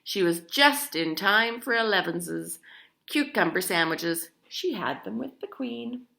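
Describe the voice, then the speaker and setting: A woman's voice: medium pitch, unhurried, gravelly. A woman reading a children's picture book aloud expressively, talking to young listeners as she goes. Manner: animated, addresses child listeners with questions. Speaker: storybook reader.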